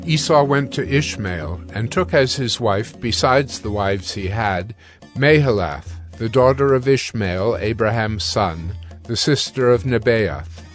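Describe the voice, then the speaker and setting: A man narrating scripture from a script in a measured, formal way. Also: fairly low pitch, animated, soft background music.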